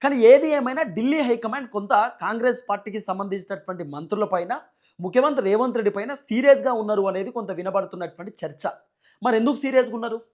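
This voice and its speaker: A man's voice: high voice, quick pace, a little mellow. A man with a Telangana Telugu accent giving emphatic, repetitive political news commentary as a channel host, often in rhetorical questions.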